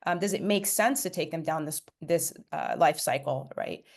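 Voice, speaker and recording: Low-voiced woman from Egypt. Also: moderate pace, vocal fry. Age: late 40s. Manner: fluent, many fillers and restarts. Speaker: startup CEO guest. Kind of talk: podcast interview.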